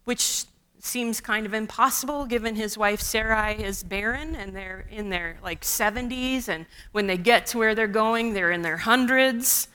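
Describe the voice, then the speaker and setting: A woman preaches a sermon in a slow, deliberate way, dropping in wry asides. Her first language is Russian. Her voice is bright and sits a little high. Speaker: pastor.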